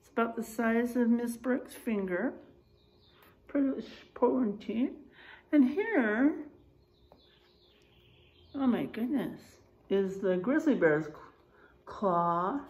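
A middle-aged woman, a kindergarten teacher reading a children's book aloud and pausing to explain things simply in a deliberate, expressive way. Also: on the high side. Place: indoors, in a room with drywall and posters.

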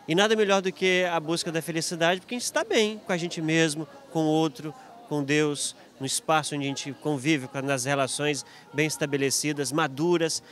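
A man's voice: fairly high pitch, fairly even intonation, moderate pace, a bit bright. Earnest man at an event interview. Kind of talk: reflective vox pop interview.

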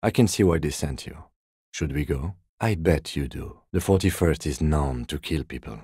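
Very deep, deadpan police detective. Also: male voice, breathy edge, no room sound, studio quality, heavily acted. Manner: measured, restrained, deadpan, formal. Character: composed, dry-witted middle-aged male police detective. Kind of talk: video game character dialogue.

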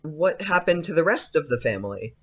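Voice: mid-range voice, sing-song, brisk, fairly bright tone, breathy edge, full character voice. An actor playing a polite, skeptical, uneasy schoolboy in an audio play, speaking hesitantly. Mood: sympathetic. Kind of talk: audio drama acting.

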